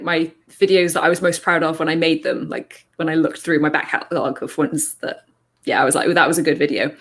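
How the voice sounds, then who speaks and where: Woman, low-pitched, deep for a woman, casual online panel talk, laughing, rambling restarts. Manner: chatty, laughs often, restarts sentences. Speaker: video creator.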